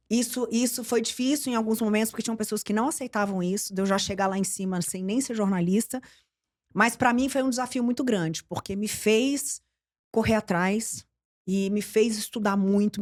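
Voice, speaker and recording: A middle-aged woman from Brazil, a journalist and TV presenter, telling career stories in an interview and acting out the dialogue. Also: medium pitch. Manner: lively storyteller, acts out quoted dialogue. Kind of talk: storytelling interview chat.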